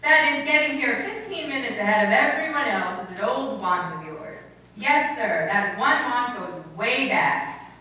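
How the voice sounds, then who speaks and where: A woman's voice: a little high, expressive, unhurried, pushed and bright. A woman playing a wry, sardonic schoolteacher who slips wisecracks under polite deference, in old-time radio comedy style. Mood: drily deferential. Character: wry, sardonic female high school English teacher. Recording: echoey room from far off, faint noise.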